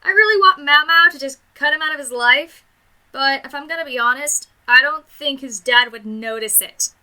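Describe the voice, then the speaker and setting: A woman with a high, very bright voice delivers a scripted review. She speaks casually and emphatically, gushing with enthusiasm like an eager fan. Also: moderate pace. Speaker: video reviewer.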